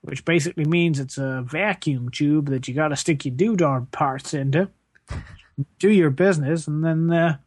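Someone putting on a whiny working class space plumber, deadpan n rambly, comedy interview, dry sound. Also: mid-range voice, normal speed, no echo, close to an everyday voice. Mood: matter-of-fact. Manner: plaintive, rambling, hesitant deadpan. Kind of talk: improvised mock interview.

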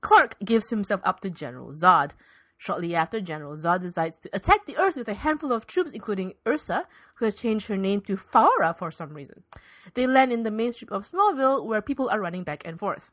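A woman's voice: medium pitch, animated, fairly quick, fairly bright tone, no room sound. A woman reading scripted narration for a spoof radio play as its narrator. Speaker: podcast host and narrator.

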